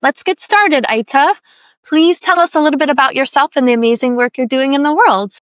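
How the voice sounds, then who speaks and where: A woman hosting a podcast, speaking in a soft, affirming and encouraging way. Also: sits high, fairly quick, no room sound. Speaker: podcast host. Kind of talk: podcast interview.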